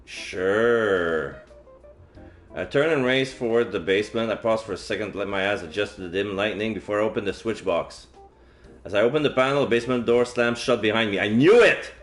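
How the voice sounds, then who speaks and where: A man's voice: fairly low pitch, brisk. Male streamer reading a game story aloud, music behind. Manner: dramatic reading mixed with offhand asides. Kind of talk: reading visual novel aloud, casual commentary. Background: video game music.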